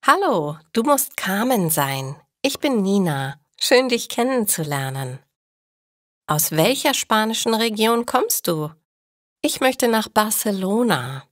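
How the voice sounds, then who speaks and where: A woman's voice: mid-range voice, very animated, slow, no echo, studio quality, pitched above the performer's own voice. A woman reading the lines of a friendly young German woman in a storybook for learners. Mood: cheerful.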